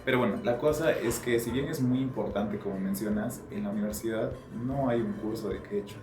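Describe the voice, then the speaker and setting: Young male student, low voice, reflective discussion lead, roomy sound with music underneath. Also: brisk. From Peru. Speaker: psychology student leading a discussion. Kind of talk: group discussion. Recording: echoey room with music behind.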